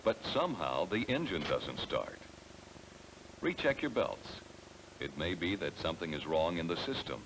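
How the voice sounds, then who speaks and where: An American man giving measured, explanatory scripted narration that addresses the listener directly, on a 1970s training film soundtrack. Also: sits low, very animated, background noise.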